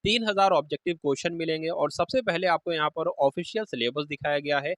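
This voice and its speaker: A man's voice: on the high side, quick pace, fairly bright tone, no echo. A man, explaining exam news to students informally and emphatically, repeating key phrases. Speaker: exam-preparation video host.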